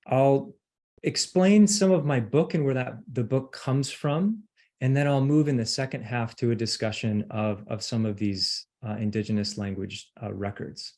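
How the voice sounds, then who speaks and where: A middle-aged man giving an academic lecture, pausing often with ums and uhs as he speaks. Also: medium pitch, a little mellow. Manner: hesitant, many ums and uhs.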